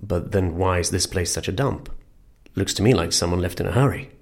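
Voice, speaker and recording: A low-voiced male investigator. He is puzzled and sceptical, putting doubtful questions to colleagues in an audio drama. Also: brisk.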